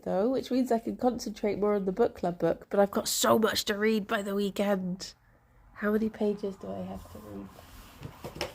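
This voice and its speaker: A young woman streamer with a congested, bunged-up voice, chatting softly with viewers and laughing often. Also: mid-range voice, vocal fry. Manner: soft, chatty, laughs often, greets chat by name. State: congested, stuffy nose. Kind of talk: casual morning chat with viewers.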